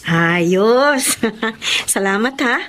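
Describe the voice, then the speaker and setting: An actress in a Tagalog radio drama playing a hardworking, caring young eldest sister with a Filipino accent. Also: female voice, mid-range voice, expressive, very slow, a bit bright. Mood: easygoing, upbeat. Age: young adult.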